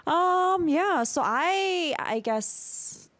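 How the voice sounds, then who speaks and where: A woman's voice: extremely high pitch, animated, extremely slow, a bit bright, dry recording. A woman from South Korea hosting a casual conversational show in an affirming, giggly manner. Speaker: talk show or podcast host. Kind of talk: casual co-hosted chat.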